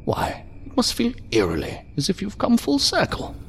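A silky, pompous ambassador of a secret power in a sci-fi audio drama. The voice swoops dramatically from low to high, savoring each ornate phrase with mocking courtesy and condescension, over a music bed. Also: male voice, huge pitch swings, moderate pace, exaggerated voice. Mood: mocking, grandiose. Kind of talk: science fiction audio drama acting.

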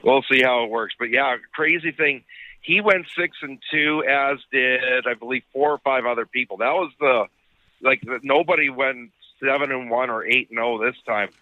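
A man's voice: medium pitch, moderate pace, dry recording. A man co-hosting a sports podcast with casual game commentary, on dull lo-fi audio.

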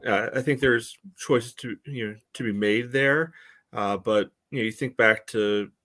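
A man co-hosting a podcast, giving casual soccer analysis in a halting way padded with filler phrases. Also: mid-range voice, fairly bright tone. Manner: halting, peppers speech with "you know". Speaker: podcast co-host.

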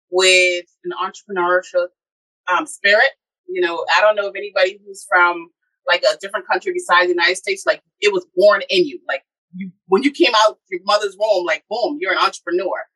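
A woman chats easily as a podcast guest, her voice bright and clear. She tells her story in a relaxed way and laughs often. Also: lowish voice, normal speed, low-quality recording. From Bahamas. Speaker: podcast guest, insurance agency owner. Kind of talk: casual interview chat.

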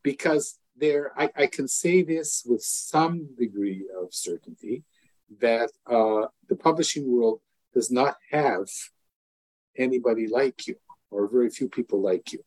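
An older male podcast host chatting with a guest, full of fillers, stumbles and gushing praise. His voice sits at a middle pitch and sounds dry and close, with no room around it. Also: lively intonation, dry recording, rough recording. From lived in Israel fifty years. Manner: warm, effusive, halting with many restarts and fillers. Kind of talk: casual interview chat.

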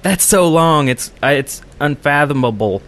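A man's voice: fairly high pitch, animated, moderate pace, background noise. A man hosting a gaming podcast in casual conversation, explaining his ideas in detail. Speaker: podcast host.

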